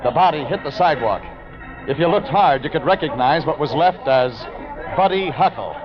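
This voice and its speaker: An American man acting a cool, terse private investigator in deadpan, clipped 1940s radio detective style. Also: fairly high pitch, normal speed, a crowd in the background, close to an everyday voice. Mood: urgent narrating. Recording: dull vintage radio recording, music behind.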